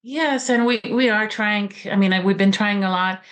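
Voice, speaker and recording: A woman in her forties, a native Romanian speaker with a Romanian accent, talks as an author guest in a halting way, with frequent pauses and restarts. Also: mid-range voice, fairly bright tone. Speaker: author and college teacher. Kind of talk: interview guest talk.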